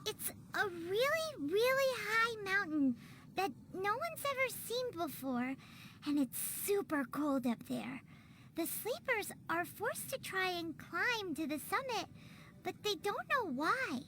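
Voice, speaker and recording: A tiny chatty game fairy with a piping, childlike squeak. The delivery is excitable and swoops up and down, yet takes its time. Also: female voice, slow pace, slight background noise, heavily acted. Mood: earnest. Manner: refers to self in third person. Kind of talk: video game voice acting.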